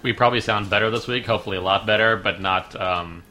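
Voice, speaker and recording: Fast-talking, bright-voiced young man. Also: fairly low pitch, slight background noise. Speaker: podcast co-host. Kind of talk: casual podcast chat.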